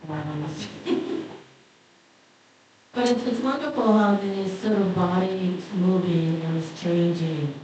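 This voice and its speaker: A woman with a Cuban Spanish accent telling relaxed anecdotes on a panel, in a large hall. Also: on the low side, slow. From Cuba. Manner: relaxed, anecdotal, light laughter between points. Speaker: panelist artist. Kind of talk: conversational storytelling on a panel. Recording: echoey hall, voice from far off the mic, dull sound, faint noise.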